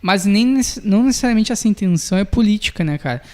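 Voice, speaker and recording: A man's voice: high-pitched, expressive, quick pace, a little mellow. A man hosting a casual podcast discussion, informal and putting questions to the others. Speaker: podcast host.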